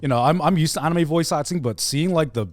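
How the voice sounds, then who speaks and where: A man co-hosting a podcast, chatting casually and haltingly, repeating words as he goes. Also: on the high side, no room sound, soft background music. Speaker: podcast co-host.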